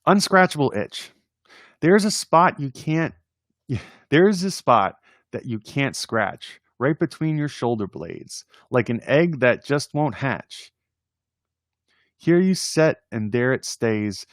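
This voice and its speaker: A man hosting a casual read-aloud of children's poems, playful and expressive in verse, with informal chatty asides. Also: medium pitch, slow, no room sound, studio quality. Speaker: host reading poems aloud on a livestream.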